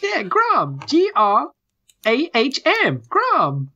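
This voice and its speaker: Tabletop game squirrel, male, small and talkative, high voice, slightly dull recording. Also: wildly swooping pitch, extremely slow, bright, forceful tone, exaggerated voice. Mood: chatty. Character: chatty small male squirrel townsperson named Grom.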